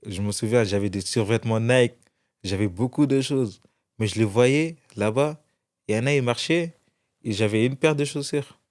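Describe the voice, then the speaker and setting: A man's voice: medium pitch, normal speed, a little mellow. A young man from France, a guest in an interview, telling his story casually with many restarts. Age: young adult.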